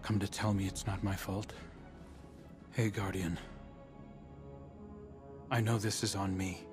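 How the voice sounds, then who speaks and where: A young guardian speaks with raw, earnest intensity. He is guilt-ridden yet defiant, in dramatic video game style. Music plays clearly behind him. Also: low-pitched, flat delivery, slow. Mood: defeated.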